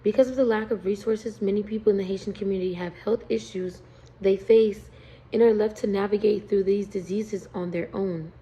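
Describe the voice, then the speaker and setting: A woman's voice: medium pitch, fairly even intonation, fairly quick, dark tone, poor audio. Young woman reading a class presentation. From United States. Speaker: student.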